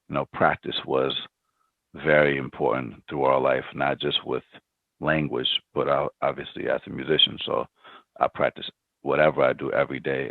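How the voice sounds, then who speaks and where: A man's voice: rumbling low, creaky, dry recording. A man in his thirties, a startup founder, answering interview questions reflectively, with many ums and restarts. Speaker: podcast guest, startup founder. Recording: dry, phone-like remote line.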